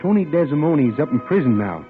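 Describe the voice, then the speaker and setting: A man's voice: fairly high pitch, sing-song, brisk. An actor playing a bashful lovesick young man. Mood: flustered. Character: shy, lovesick young man, sweet and flustered. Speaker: dramatic lead, radio play star. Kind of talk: 1940s radio acting. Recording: tinny old radio broadcast with music.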